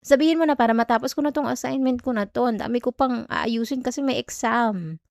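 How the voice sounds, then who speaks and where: A woman's voice: a little high, brisk, gravelly, a little mellow, dry recording, clean studio sound. A woman with a Filipino accent playing a jealous, easily irritated student girlfriend in an ASMR roleplay, defensive and clipped. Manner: defensive, clipped, teasing Taglish.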